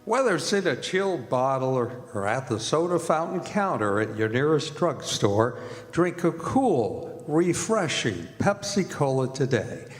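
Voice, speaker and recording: Animated male radio announcer in a hall. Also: medium pitch, a little slow. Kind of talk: old-time radio announcing and ad reading. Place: performing arts center stage. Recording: hall reverb, music behind.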